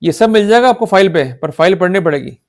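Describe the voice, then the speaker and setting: A man's voice: sits high, expressive, fast, a little mellow. A man with an Indian accent giving an explanatory law lecture in a didactic, emphatic manner, often checking that listeners follow. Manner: didactic, emphatic, checks understanding with repeated short questions. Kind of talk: explanatory lecture in Hindi mixed with English legal terms.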